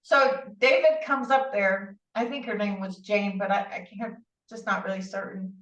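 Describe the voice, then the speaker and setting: A woman guest telling her story in a rambling, animated way, with many asides and restarts, heard through a remote call. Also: mid-range voice, normal speed. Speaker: interview guest. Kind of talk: casual conversational storytelling. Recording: distant, roomy, dull-sounding audio.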